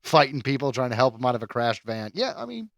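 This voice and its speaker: A man's voice: medium pitch, very animated, quick pace, no echo, studio quality. A man in his thirties co-hosting a podcast, joking around, laughing often and swearing casually. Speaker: podcast co-host. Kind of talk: casual comedic banter.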